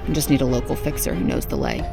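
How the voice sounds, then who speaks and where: An actress playing a tough, guarded young newcomer to a Mars colony in a sci-fi audio drama, terse and self-assured. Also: female voice, rumbling low, wildly swooping pitch, quick pace, gravelly, full character voice. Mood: determined, terse. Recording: loud music under dialogue.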